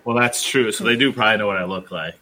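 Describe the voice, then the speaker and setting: A man's voice: fairly low pitch, quick pace, sharp tone, poor audio. A man co-hosting a history podcast in casual chat, joking around and rambling with self-mocking asides. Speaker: podcast co-host.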